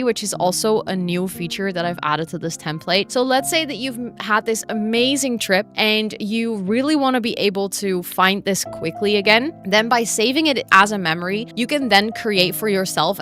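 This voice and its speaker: Dutch-accented woman explaining software casually. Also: mid-range voice. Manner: chatty, explanatory, frequent fillers like "actually" and "basically". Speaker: template creator giving a tour. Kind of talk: screen-recorded software walkthrough.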